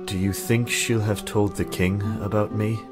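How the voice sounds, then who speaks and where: A man's voice: on the low side, steady tone, moderate pace, music in the background, lightly acted. A man playing a brooding, protective good man in a visual novel read aloud, with solemn, formal delivery. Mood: concerned.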